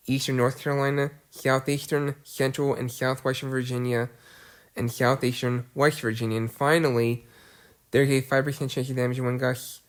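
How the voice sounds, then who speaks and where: A man with a cold, sounding congested, giving a severe weather update and reading out long lists of areas with some restarts. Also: mid-range voice. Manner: plodding list-reading with restarts. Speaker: weather video host. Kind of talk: casual weather briefing.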